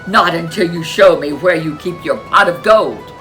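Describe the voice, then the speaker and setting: A woman voicing a lazy, whining man, who complains loudly. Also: lowish voice, normal speed, soft background music, lightly acted. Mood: indignant. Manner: whiny, complaining.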